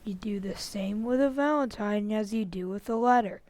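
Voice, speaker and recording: A woman's voice: medium pitch, moderate pace, darkish tone, no room sound, natural voice. Woman playing a bossy older brother, dry sound. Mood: superior, matter-of-fact. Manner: superior, matter-of-fact. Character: bossy, know-it-all older boy, the Nelsons' son. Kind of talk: old-time radio comedy acting.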